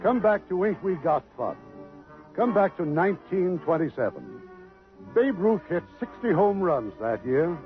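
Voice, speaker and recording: A man's voice: high voice, sing-song, a little slow. A man hosting and narrating the setup of a 1950s radio drama. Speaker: radio host and producer. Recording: muffled old radio broadcast with music.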